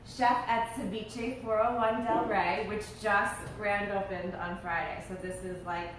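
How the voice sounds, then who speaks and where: A woman's voice: medium pitch, fairly even intonation, unhurried, a little hiss or hum. A woman co-hosting a casual live chat, bubbly and chatty as she talks with a chef. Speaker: co-host. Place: restaurant. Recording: echoey room, mic far off.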